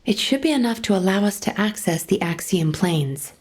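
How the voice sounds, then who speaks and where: A serious female operations officer in a video game. She speaks at a medium pitch, composed and formal, with an earnest delivery. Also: lively intonation, moderate pace, darkish tone, breathy edge. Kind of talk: game cutscene dialogue.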